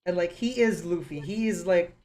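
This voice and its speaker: A woman hosting a casual review chat, excitable and quick to laugh, often restarting her sentences. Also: fairly low pitch, faint music behind. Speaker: host reviewing a series.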